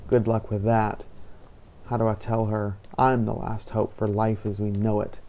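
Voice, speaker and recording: A man voicing a brooding, defiant fantasy hero, narrating his own game adventure in a deliberate, dramatic way. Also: fairly low pitch, little pitch movement, highs cut off, close to an everyday voice. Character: brooding male dragon-slaying hero, weary and defiant. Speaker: role-playing gamer narrating as his character. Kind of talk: first-person in-character scripted narration. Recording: faint background noise.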